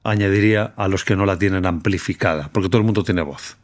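A middle-aged man hosting a podcast, speaking in a slow, deliberate, reflective way. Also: deep voice. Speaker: podcast host. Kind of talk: reflective monologue and interview.

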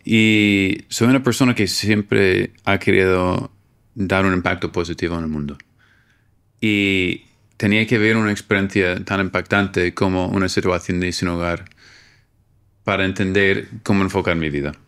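A man's voice: deep voice, steady tone, normal speed, a bit bright, clean tone. A middle-aged man from Minnesota with an American accent, speaking Spanish as a second language, earnestly answering interview questions. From United States, Minnesota. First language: English. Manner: earnest, searching for words in Spanish. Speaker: guest, homeless outreach worker. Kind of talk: interview conversation.

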